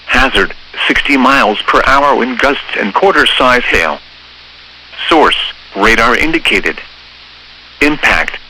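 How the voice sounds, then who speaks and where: A synthetic male voice reading scripted emergency weather bulletins in a formal, measured, impersonal way over weather radio. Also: mid-range voice, pushed and bright. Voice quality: synthetic text-to-speech voice. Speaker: automated weather radio announcer. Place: automated broadcast received over radio. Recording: noisy weather radio broadcast.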